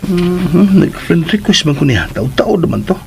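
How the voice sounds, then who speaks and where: A cheerful, lovelorn young man in a radio drama, chatting expressively in a Filipino Cebuano accent. His pitch swings freely and his voice has some fry. There is faint noise behind him. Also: unhurried, heavily acted. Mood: playful, musing. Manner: expressive, chatty, talking to himself.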